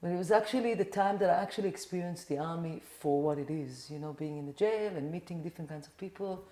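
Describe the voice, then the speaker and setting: A middle-aged Israeli woman talks loosely as a podcast guest, with a low, mellow voice. Her sentences wander, full of "like" and "you know". The room around her sounds echoey. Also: fast. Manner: rambling, with many "like" and "you know" fillers. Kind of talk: casual interview chat.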